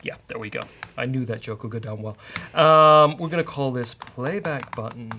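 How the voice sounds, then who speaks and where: A man's voice: mid-range voice, normal speed, sharp tone, slight background noise. Man live-coding aloud over keyboard clatter. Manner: bantering, thinking aloud while typing. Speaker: host of a coding show. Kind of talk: casual live-coding talk with a co-host.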